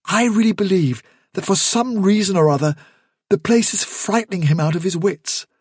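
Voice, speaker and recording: A protective storybook rabbit acted by a man, reasonable and earnest. The voice sits high and a little husky, with an urgent, conciliatory manner. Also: moderate pace, darkish tone, no echo, clean studio sound. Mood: earnest pleading. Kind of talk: storybook character dialogue.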